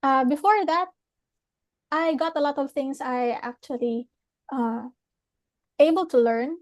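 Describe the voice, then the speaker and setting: A young woman from the Philippines with a Philippine English accent, hosting a webinar and reflecting thoughtfully with frequent pauses and restarts. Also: high-pitched, a little mellow, dry recording. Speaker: webinar host. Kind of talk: reflective chat with a guest.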